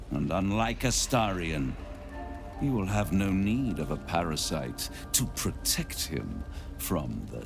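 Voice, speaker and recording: An actor playing a suave, menacing devil in a video game, speaking in a theatrical, deliberate and grandiloquent way. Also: male voice, low voice, vocal fry, heavily acted. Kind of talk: dramatic video game acting.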